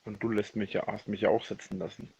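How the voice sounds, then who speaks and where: A man speaking a rambling personal voicemail, halting and often correcting himself, with a husky voice. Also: fairly low pitch, flat delivery, darkish tone. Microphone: voice message recorded on a phone. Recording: dull lo-fi leaked voicemail recording.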